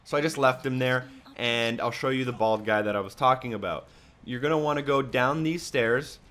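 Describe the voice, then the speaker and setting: A man's voice: medium pitch, moderate pace, a bit bright. Man narrating a casual game walkthrough. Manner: instructional, step-by-step. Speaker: video game guide narrator. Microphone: voice-over on a microphone.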